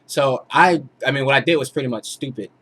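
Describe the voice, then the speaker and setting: A man's voice: mid-range voice, fairly quick, a bit bright. A man giving a casual, informal tutorial as a music producer, explaining things step by step. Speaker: music producer teaching vocal mixing.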